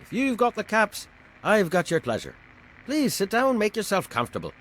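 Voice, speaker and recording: A scheming saloon keeper in a video game. His pitch leaps widely and sits high. He is theatrical and condescending, a boastful smooth talker, with faint noise behind him. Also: huge pitch swings, normal speed, a bit bright, full character voice. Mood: grandiose welcome. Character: sly, boastful saloon owner, grandiose villain. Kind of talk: video game character dialogue.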